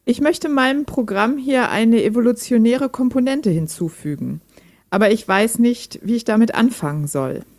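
A woman's voice: a little high, normal speed, darkish tone, natural voice. A woman playing a lonely, reserved hobby programmer in an audio drama, speaking in a dry, direct way. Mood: curious, friendly. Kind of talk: amateur audio drama dialogue, read chat.

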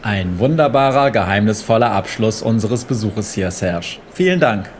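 An actor playing a polite, formal tour group leader making announcements in an audio drama. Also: male voice, lowish voice, lively intonation, normal speed, background noise, close to an everyday voice.

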